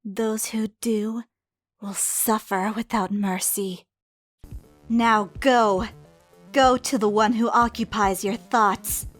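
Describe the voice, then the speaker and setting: A woman playing the ghost of a dead girl in a game dub, cryptic and coldly taunting, speaking in a measured, ominous, breathy whisper. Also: on the high side, steady tone. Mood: cold, menacing. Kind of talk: dramatic video game dub acting. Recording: background music under the voice.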